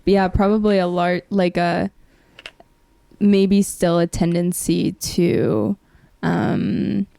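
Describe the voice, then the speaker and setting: A young woman with a mellow, fairly low voice that often drops into fry. She talks as a podcast host, reflective and tentative, working through ideas in casual discussion. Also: level tone, slow pace, no echo, studio quality.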